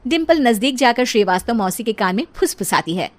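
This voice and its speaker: High-voiced woman narrating a story. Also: animated, brisk. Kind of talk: scripted comic story narration.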